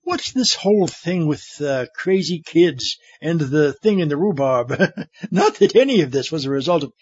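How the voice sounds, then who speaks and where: A man's voice: on the high side, animated, normal speed, a little mellow, breathy edge, dull audio. A man playing a giggly, self-absorbed mad scientist in a comedic audio drama, with a theatrical, pompous delivery. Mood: sly, flustered. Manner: theatrical, pompous, flustered backtracking.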